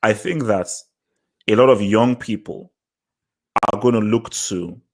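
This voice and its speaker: Male podcaster, Nigerian accent, moderately low voice, conversational discussion style with emphatic repetition. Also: unhurried. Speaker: podcast host. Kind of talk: casual discussion podcast chat.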